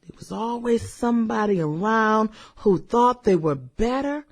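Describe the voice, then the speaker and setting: A woman's voice: fairly high pitch, expressive, a little slow. An actress playing a brash, fun-loving middle-aged former popular girl in a radio drama, sassy and chatty, drawing out her exclamations and hums. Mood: gleeful nostalgia. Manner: sassy, drawling exclamations and hums, girlfriend-to-girlfriend banter. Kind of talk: satirical radio drama acting.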